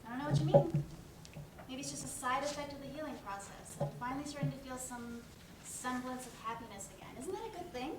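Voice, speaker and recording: A woman's voice: high voice, fast, natural voice. Guarded, clipped young woman played by an actress for a stage reading; distant, very noisy recording. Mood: defensive. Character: guarded, grieving young woman, brushing off concern. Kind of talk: naturalistic dramatic play reading. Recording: echoey room, distant mic, loud noise.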